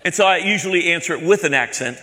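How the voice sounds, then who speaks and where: A man's voice: high voice, quick pace, bright, forceful tone. A male pastor from the American South preaching a sermon with repeated, building phrases. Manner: preaching with repetition and building emphasis. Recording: echoey hall with long reverb.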